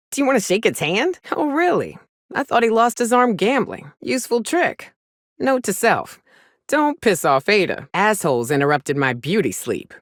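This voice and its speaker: A brash gunslinger woman, on the low side and very expressive in pitch. She drawls wry, folksy, salty remarks like a video game companion from a space crew. The sound is close and dry. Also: dry recording. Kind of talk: video game voice acting, short companion lines.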